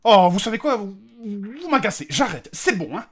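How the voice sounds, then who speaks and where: A man playing a reluctant, fussy, easily offended well-off gentleman in a comic fantasy audio drama, speaking politely but hesitantly and whining. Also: high-pitched, very animated, moderate pace, a crowd in the background. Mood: annoyed.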